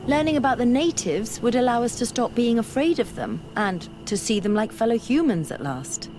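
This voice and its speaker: An actress playing an earnest, bookish young female scholar in a video game, speaking in a measured, articulate way. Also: a little high, lively intonation, background noise, close to an everyday voice. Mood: thoughtful, hopeful. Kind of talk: video game dialogue acting. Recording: music behind the voice.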